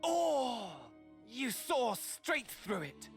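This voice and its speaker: Nihilistic villain mastermind for a game: grandiose, mocking, unhurried, wildly animated delivery over music. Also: male voice, extremely high pitch, heavily acted. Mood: mock delight. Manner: grandiose showman, swinging from mocking courtesy to mania. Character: theatrical, gleefully nihilistic male villain mastermind, unhinged and mocking. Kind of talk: theatrical video game voice acting. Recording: game audio with music behind.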